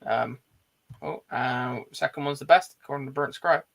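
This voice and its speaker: Male host, mid-pitched with heavy vocal fry, casual conversational delivery. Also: normal speed, a bit bright. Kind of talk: casual chat.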